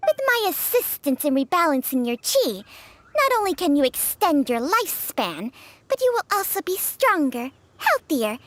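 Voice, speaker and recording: A woman's voice: very high voice, a bit bright, heavily acted. A woman voicing a talking female snake spirit in a video game, haughty and sardonic, with proud, emphatic phrasing. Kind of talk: video game character acting.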